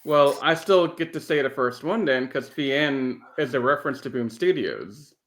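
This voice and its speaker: A man's voice: mid-range voice, lively intonation, moderate pace, a bit bright. A man speaking as a podcast host in casual, conversational chat.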